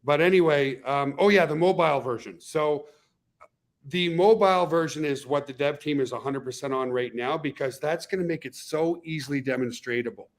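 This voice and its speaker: A man's voice: on the high side, moderate pace. A man hosting a weekly webinar on a video call, upbeat and rambling, often restarting his sentences. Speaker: host.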